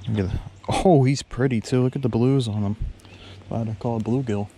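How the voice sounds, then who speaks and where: Excitable fishing vlogger man outdoors. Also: fairly quick. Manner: excitable, gets loud and high when fish bite. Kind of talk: casual outdoor commentary. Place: outdoors by a lake below a dam. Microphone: head-mounted action camera. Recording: faint outdoor noise.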